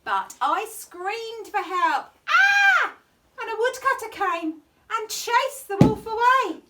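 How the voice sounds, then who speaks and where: A woman's voice: extremely high pitch, big pitch swings, slow pace, recorded from a distance, heavy background noise. A woman reading a children's bedtime story theatrically, stretching words with exaggerated emphasis. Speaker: storytime reader at a primary school.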